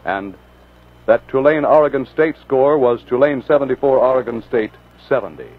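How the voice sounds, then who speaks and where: A man calling out a list of scores in a plain, matter-of-fact way at a middle pitch. The sound is thin and narrow, like an old broadcast coming over a phone line. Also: moderate pace, a little hiss or hum. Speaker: station announcer. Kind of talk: reading sports scores on radio. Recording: tinny old radio transcription.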